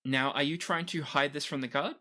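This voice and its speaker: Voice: medium pitch, steady tone, brisk, bright, forceful tone. A game master improvising narration for a tabletop game in a conversational, descriptive way.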